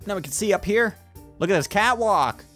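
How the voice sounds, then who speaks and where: A man with a fairly high voice and animated intonation chats casually through a co-op video game. Music plays behind him. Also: normal speed. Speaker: gamer, video host. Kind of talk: casual co-op gameplay chat.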